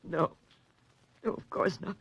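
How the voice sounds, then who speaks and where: An American actress playing a secretive, anguished woman in her thirties, halting and pleading in melodramatic 1940s radio style. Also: close to an everyday voice. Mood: reluctant, bitter. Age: 30s. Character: anguished, secretive 35-year-old daughter of a convict.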